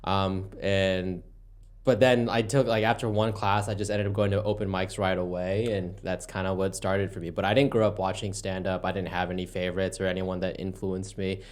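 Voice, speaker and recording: A man's voice: low-pitched, little pitch movement, moderate pace. A young American man, a comedian guest, chatting about his life in an easygoing, rambling way. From United States. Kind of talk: casual podcast chat. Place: podcast studio.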